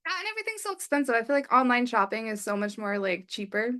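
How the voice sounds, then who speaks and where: A woman hosting a podcast, chatty and asking quick, friendly questions. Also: a little high, big pitch swings, sharp tone. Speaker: podcast host. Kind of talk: casual interview chat.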